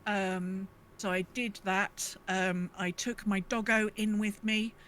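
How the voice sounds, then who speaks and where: A woman's voice: medium pitch, fairly even intonation, takes it slowly, a bit bright, slight background noise. A woman co-hosting a podcast, chatting casually about gaming in a rambling, self-correcting way. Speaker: podcast co-host.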